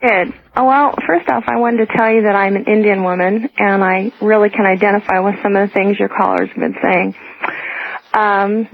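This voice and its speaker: A woman calling a radio show, telling a rambling personal story in a casual, halting way. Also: mid-range voice, fairly even intonation, slight background noise. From United States. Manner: halting, often clears her throat. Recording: tinny, narrow telephone line.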